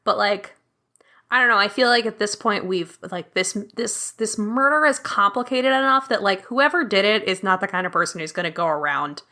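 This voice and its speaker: A woman's voice: mid-range voice, expressive, moderate pace, bright, forceful tone. A woman playing a tabletop mystery game as a guest, who thinks aloud with many fillers. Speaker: guest player.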